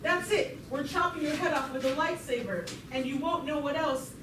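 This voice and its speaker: A woman's voice: high voice, normal speed, natural voice. A woman playing a brash, power-hungry young male space revolutionary in an amateur comic puppet show. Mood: menacing. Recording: echoey distant recording with clear noise.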